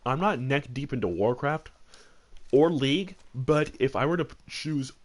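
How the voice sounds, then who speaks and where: A man's voice: medium pitch, expressive, normal speed. A man chatting casually as host of a gaming discussion, rambling and emphatic, often repeating phrases for stress. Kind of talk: casual chat, opinionated commentary.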